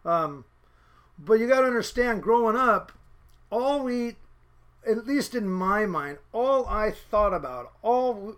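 Rambling high-voiced middle-aged man. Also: a bit bright. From Seattle area, USA. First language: Norwegian. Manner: rambling, many restarts and fillers. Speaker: fisherman's son recalling childhood. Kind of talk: casual reminiscing.

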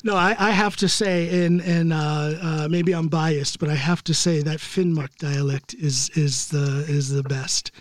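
A man's voice: a little high, steady tone, a little slow, few pauses, dry recording, clean studio sound. A man hosting a podcast, chatting casually and agreeably, often repeating words as he starts sentences. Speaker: podcast host.

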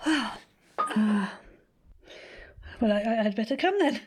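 A woman's voice: on the high side, animated, slow, slight background noise. A woman playing a humble, fond village mother of a grown son in an audio drama, speaking hesitantly. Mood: anxious, hesitant. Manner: hesitant, fond, calls listener love. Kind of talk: naturalistic audio drama acting.

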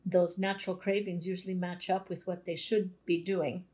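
A woman's voice: on the low side, little pitch movement, moderate pace, a little mellow. Woman doctor explaining food as medicine. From Canada. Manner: conversational, explains with everyday examples. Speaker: naturopathic doctor guest. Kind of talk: explanatory interview talk.

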